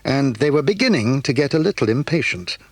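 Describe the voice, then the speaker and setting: A man narrating a children's storybook drama in a calm, measured way. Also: mid-range voice, breathy edge, dry recording.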